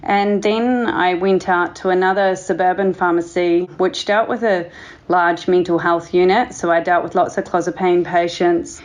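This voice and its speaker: A woman's voice: fairly low pitch, steady tone, normal speed. A woman with a New Zealand accent, a pharmacist telling her career story in an interview. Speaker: guest pharmacist and pharmacy owner.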